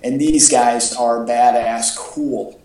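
A man's voice: medium pitch, steady tone, slow pace, warm tone, recorded from a distance. Enthusiastic man chatting, off-mic. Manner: enthusiastic, rambling. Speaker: podcast co-host. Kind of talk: casual fan chat about superhero movies. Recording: echoey, off-mic home podcast audio.

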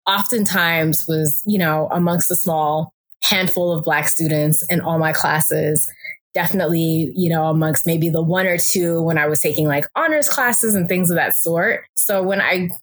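Low-pitched American woman, casual podcast guest. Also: normal speed. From California, born in DR Congo. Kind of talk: casual conversational storytelling.

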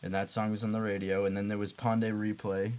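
A man from New England, chatting casually as a podcast co-host in a relaxed, easygoing way. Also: lowish voice, fast, a little hiss or hum. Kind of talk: casual chat.

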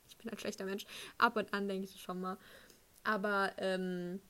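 A woman's voice: mid-range voice, fairly even intonation, normal speed, sharp tone. A woman in her late teens chatting casually in a rambling, giggly, self-deprecating way, with youthful slang. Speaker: high school student sharing anecdotes. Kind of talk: casual, rambling personal chat.

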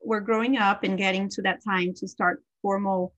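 A woman whose first language is Portuguese, co-hosting a podcast in casual chat, talking freely with many fillers and quick laughs. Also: medium pitch, steady tone, fairly bright tone, no echo, low-quality recording. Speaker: podcast co-host.

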